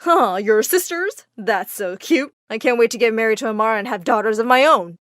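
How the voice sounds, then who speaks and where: A woman's voice: a little high, expressive, fairly quick, a bit bright, no echo, clean studio sound. Woman acting smug, theatrical young male suitor. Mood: smug, entitled. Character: young man, smug entitled jilted village fiancé.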